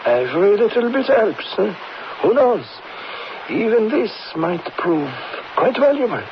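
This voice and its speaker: A man's voice: high voice, very animated, exaggerated voice. A man in an old radio drama playing a shifty, self-justifying army major who hems and haws as he talks. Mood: musing, sly. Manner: halting, hemming and hawing. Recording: noisy muffled old radio recording.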